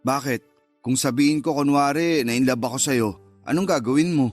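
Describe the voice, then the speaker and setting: A man's voice: medium pitch, fairly quick, soft background music, close to an everyday voice. A man acting a cocky, teasing and easily wounded secret lover, with a Filipino accent, in a radio love drama. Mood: wounded, denying.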